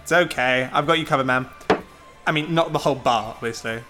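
A man's voice: medium pitch, fairly quick, over music, natural voice. A man playing a loyal but easily wounded young friend in a science fiction audio drama, with a dry, blunt way of talking. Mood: wry, supportive. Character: loyal, teasing young male friend, jealous and bitter.

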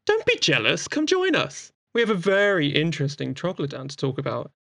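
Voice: mid-range voice, wildly swooping pitch, fairly quick, creaky, a bit bright, dry recording, studio quality, exaggerated voice. An actor of androgynous voice playing a sociable, playful doctor in a chatty audio drama, exuberant, informal and teasing. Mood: teasing, warm. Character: breezy, sociable, playful doctor at a space clinic.

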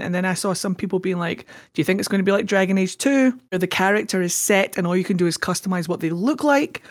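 A man co-hosting a fan podcast in casual chat, excitable and tripping over his words, with a slightly scratchy voice. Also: sits high, creaky. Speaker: podcast co-host.

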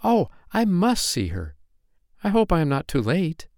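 A man plays a little fairy girl from a children's storybook, pitched far up and swooping with feeling. She is impulsive and tender, exclaiming with earnest wonder. The sound is clean and close, with very little room. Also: big pitch swings, normal speed, no room sound. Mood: excited, awed. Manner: exclaiming, wide-eyed and earnest.

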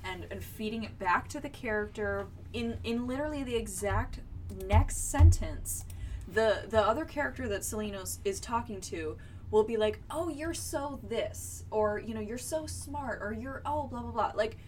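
A woman co-hosting a book podcast, casually analysing what she reads and halting as she explains. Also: a little high. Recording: distant mic with background noise.

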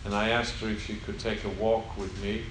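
A man's voice: fairly low pitch, level tone. Give me an older Swedish man telling his testimony in an echoey room with background noise. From Sweden. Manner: halting, unhurried storytelling with fillers and soft laughs. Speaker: guest speaker. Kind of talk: personal testimony to a gathering.

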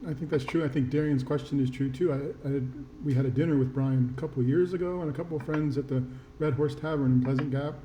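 A man's voice: mid-range voice, fairly even intonation, quick pace, dark tone. A man co-hosting a podcast, telling an anecdote in a casual, conversational style. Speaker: podcast co-host. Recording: roomy echo, faint noise.

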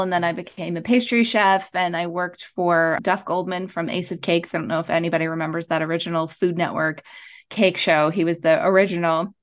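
A woman in her thirties from Montreal, a podcast guest telling her story in an animated way with frequent laughs. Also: lowish voice. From Montreal, Canada. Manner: animated, laughs often, quick asides. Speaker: podcast guest, wellness coach. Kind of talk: casual interview storytelling.

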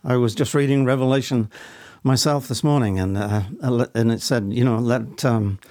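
A man's voice: medium pitch, fairly even intonation, moderate pace, darkish tone, no echo, clean studio sound. A middle-aged man answering interview questions in a thoughtful, hesitant, conversational way. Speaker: stage actor, podcast guest.

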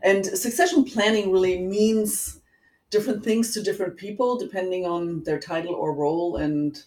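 A middle-aged woman with a German accent explaining things as an interview guest, in a measured, precise way. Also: lowish voice, a little mellow. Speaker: interview guest, executive coach. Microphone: online call. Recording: roomy echo, distant microphone.

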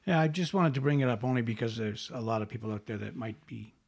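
A man hosting a podcast interview, giving short encouraging replies and asking gentle questions. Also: mid-range voice, fast talker, gravelly, breathy edge. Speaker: podcast host. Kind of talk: casual interview.

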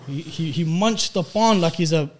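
A man's voice: on the high side, moderate pace. A man with a London accent hosting a casual podcast, talking in slang and repeating phrases to drive his point home. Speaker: podcast host.

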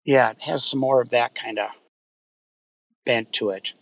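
Relaxed man recalling his family. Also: mid-range voice, unhurried, gravelly. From Minnesota, US. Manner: relaxed, rambling with many fillers. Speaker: guest, wildfire fighter. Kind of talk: casual interview chat.

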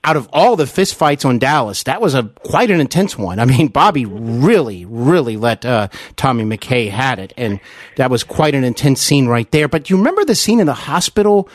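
A man's voice: medium pitch, big pitch swings, normal speed, no room sound. Male interview host, fan-like and emphatic, very varied intonation, dry close sound. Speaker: podcast host. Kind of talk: conversational celebrity interview.

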